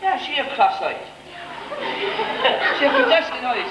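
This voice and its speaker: A man's voice: extremely high pitch, big pitch swings, unhurried, lo-fi sound, crowd noise, exaggerated voice. An Irish man playing a cheeky, wisecracking comic character in an amateur stage pantomime. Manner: cheeky, exclamatory. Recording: echoey hall, audience and noise.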